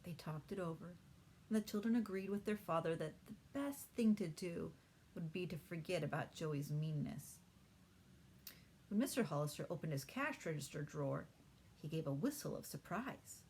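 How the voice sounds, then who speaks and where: A woman's voice: low voice, animated, normal speed, a little mellow, slight background noise. A woman reading a children's storybook aloud expressively, as a teacher does for her class.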